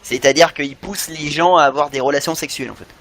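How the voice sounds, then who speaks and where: Male podcast co-host, slightly high voice, eager fan talk full of repetition, light background noise. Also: fast, fairly bright tone. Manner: enthusiastic, repeats himself. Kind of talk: animated fan discussion of cartoons.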